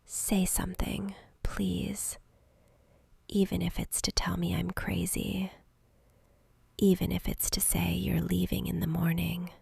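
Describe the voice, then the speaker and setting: A woman's voice: fairly low pitch, level tone, creaky, darkish tone, slightly rough, no echo, exaggerated voice. A woman voicing a lonely, centuries-old vampire girl in an intimate ASMR roleplay, whispering breathily and close to the mic with tender, hesitant longing. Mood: earnest, pleading. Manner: slow, intimate, hesitant pleading. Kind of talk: whispered soft-spoken ASMR romantic roleplay.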